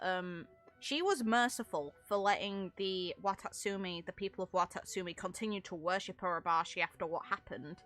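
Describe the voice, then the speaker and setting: A woman's voice: mid-range voice, sharp tone. A woman talking casually and analytically through game lore, with opinionated, rambling asides. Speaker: content creator commentating on a game.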